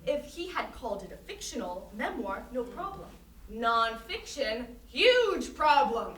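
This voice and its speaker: A woman's voice: a little high, sing-song, a little slow, fairly bright tone. A woman acting a grandiose, earnest conceptual artist who lectures emphatically in a staged interview. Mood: lofty, lecturing. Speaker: actor in a staged reading. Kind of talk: theatrical monologue in interview form. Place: staged reading in a reverberant room. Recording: roomy, distant microphone, noisy hall.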